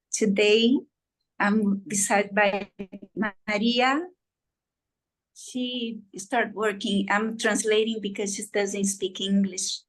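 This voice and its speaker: Slow-speaking Brazilian woman. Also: mid-range voice, rough recording. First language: Portuguese. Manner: halting, non-native English. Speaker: participant translating for a guest. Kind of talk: informal introduction and translation on a group call.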